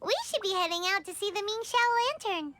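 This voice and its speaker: A woman voicing a tiny, bubbly and bossy childlike companion in a video game, with a squeaky voice and a chirpy, insistent manner. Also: fairly quick, pushed and bright, a little hiss or hum. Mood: cheerful coaxing. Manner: chirpy, insistent, refers to self in third person.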